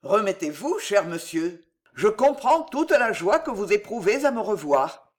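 A woman's voice: mid-range voice, big pitch swings, unhurried. A woman voicing a courteous, resolute young male chevalier in an audiobook story, formal and probing. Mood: wry politeness. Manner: formal, polite, questioning. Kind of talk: storybook character acting.

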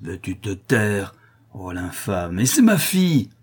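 Audiobook villainess: savage, scornful condemned widow, low, husky and dark, read by a man. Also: normal speed, lightly acted. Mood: exasperated contempt. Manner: cold fury, savage irony, scornful. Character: fierce, sneering, vengeful condemned widow, a criminal mother.

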